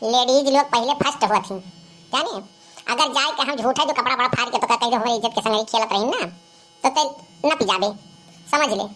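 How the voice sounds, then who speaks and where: A woman plays a scolding young village woman in a cartoon comedy. The voice is high and carries an Awadhi accent. The delivery is sharp-tongued and full of complaint. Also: normal speed. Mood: scolding, threatening.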